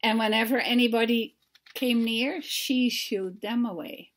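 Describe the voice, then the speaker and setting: A woman in her sixties telling a story to children as its author, pausing to put questions to them. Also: fairly high pitch, fairly even intonation, fairly bright tone. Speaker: children's author reading aloud. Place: book-lined home study.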